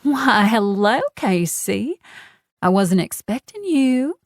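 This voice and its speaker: A woman's voice: medium pitch, lively intonation, no echo, studio quality, pitched above the performer's own voice. A woman voicing a sweetly vicious Southern woman with a honey-coated drawl, cooing and mocking. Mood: syrupy mocking. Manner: syrupy, taunting, drawn-out words.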